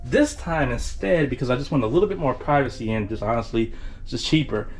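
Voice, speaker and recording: A man's voice: medium pitch, a little fast, darkish tone, soft background music. A tired man giving a casual, informal tour as a travel vlogger, addressing viewers in a folksy way. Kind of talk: casual cruise ship tour commentary.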